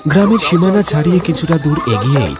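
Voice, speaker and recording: A man's voice: fairly high pitch, big pitch swings, fast talker. A man narrating a scripted horror story in a dramatic, suspenseful, theatrical way. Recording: loud music under the voice.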